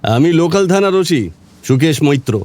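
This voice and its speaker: An actor playing a courteous but suspicious local police officer in an audio drama, asking probing questions. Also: male voice, a little high, lively intonation, normal speed, a little mellow, close to an everyday voice. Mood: brisk, courteous. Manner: probing questions, hesitant repeated phrases. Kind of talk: Bengali audio drama acting.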